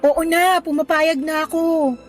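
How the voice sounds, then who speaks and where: A woman playing an earnest young female teacher in a radio romance drama, expressive and mixing Tagalog with English. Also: very high voice, measured pace, soft background music. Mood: flustered, smitten.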